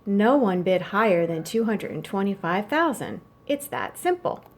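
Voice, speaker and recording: A woman's voice: medium pitch, big pitch swings, normal speed. A woman voicing a young boy in a children's storybook, a blunt, money-minded and eager little brother. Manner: blunt, matter-of-fact.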